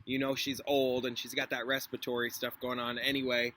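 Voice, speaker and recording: A man's voice: mid-range voice, flat delivery, brisk, bright, forceful tone. A man who teaches school, reading a story aloud with informal, chatty asides and explanations. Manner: informal, interjects explanations and reactions.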